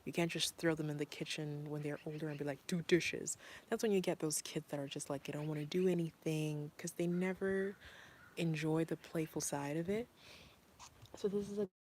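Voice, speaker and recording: A woman's voice: deep voice, steady tone, normal speed, darkish tone, a little hiss or hum. A woman from Zimbabwe, a parent, talking casually and earnestly with many fillers and restarts. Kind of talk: casual talk to camera.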